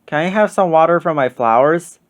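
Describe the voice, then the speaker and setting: A man's voice: fairly high pitch, lively intonation, brisk, natural voice. A man voicing a cheerful, curious young girl in a children's storybook. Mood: bright, curious.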